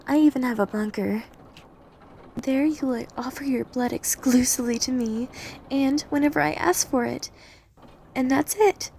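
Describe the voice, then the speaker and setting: Obsessive vampire woman, high intimate voice, acted by a woman. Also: warm tone, slight background noise. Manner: breathy intimate delivery, often dropping to whispers. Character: obsessive, possessive female vampire, hungry and domineering. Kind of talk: ASMR roleplay audio drama.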